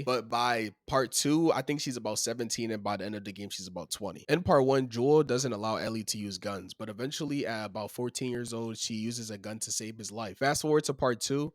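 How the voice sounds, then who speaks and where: A young man with a voice gone a bit raspy from being sick, reading out his game review in a steady, earnest and opinionated tone. His pitch sits in the middle of the range for a man. The recording is close and dry, with no room sound. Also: no echo. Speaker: video game review host. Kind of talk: scripted game review read aloud.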